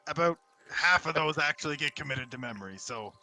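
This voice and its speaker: A blunt, unimpressed adventurer played by a man in a tabletop game. The voice is fairly high and extremely sharp and forward. The manner is impatient and dismissive. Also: expressive, a little fast, heavily acted, low-quality recording.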